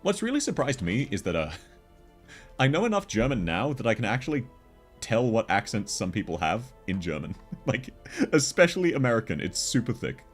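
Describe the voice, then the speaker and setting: A man's voice: medium pitch, very animated, normal speed, slightly rough, faint music behind. A man with an Australian accent, chatting casually with viewers on a game livestream, laughing often as he answers chat. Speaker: game streamer.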